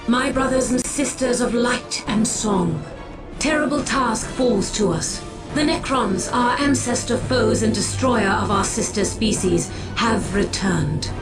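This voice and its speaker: An actress playing a solemn, commanding alien war seer in a video game, speaking gravely and formally with theatrical weight. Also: female voice, fairly high pitch, a little slow, music in the background, natural voice, rough recording. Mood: grave, defiant. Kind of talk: dramatic video game voice acting.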